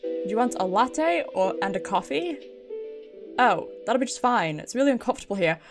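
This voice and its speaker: A British woman with a fairly high voice streams a game in a casual, playful way. She chats to herself, giggly and a little sweary, with music behind her. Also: sing-song, moderate pace. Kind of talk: casual live game commentary, reading dialogue aloud.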